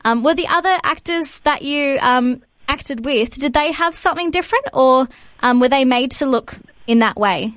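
A woman's voice: sits high, moderate pace, fairly bright tone, dry recording. Chatty young woman co-host, dry sound. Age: 20s. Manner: chatty, long questions with many "um" fillers. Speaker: radio co-host. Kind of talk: casual interview chat.

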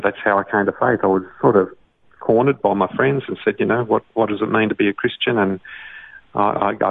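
A man's voice: fairly low pitch, creaky, no room sound. An Australian man, a doctor and songwriter, talking as a guest in a casual interview, hesitant, with many fillers. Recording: dry tinny phone-like line.

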